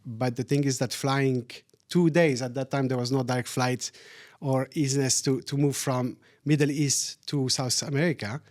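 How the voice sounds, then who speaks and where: A middle-aged man from Syria talks through his life story in a relaxed interview. He speaks thoughtfully and often repeats words while he searches for the next one. His voice sits in a middle pitch. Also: moderate pace, hardly any pauses. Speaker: guest. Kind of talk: casual interview chat.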